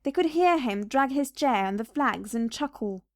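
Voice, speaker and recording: Female narrator for children's read-aloud, fairly high and very melodic, expressive scripted delivery, dry sound. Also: moderate pace, no echo. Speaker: storybook narrator.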